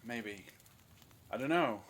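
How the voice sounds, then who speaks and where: A man's voice: mid-range voice, animated, slow pace, fairly bright tone, slight background noise. A man playing a grumpy, sarcastic captive with a cutting manner in an audio drama. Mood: irritable. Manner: scornful, irritable, cutting. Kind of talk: fan audio drama acting.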